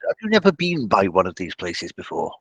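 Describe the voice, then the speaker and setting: A man's voice: fairly low pitch, wildly swooping pitch, fairly quick, fairly bright tone, slightly rough, no echo. A man improvising in-character dialogue as a tabletop game player, recorded over a dull internet call.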